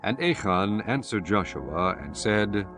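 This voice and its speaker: A man reading scripture as the narrator of a dramatized audiobook, in a solemn, measured manner. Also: on the low side, animated. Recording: music behind the voice.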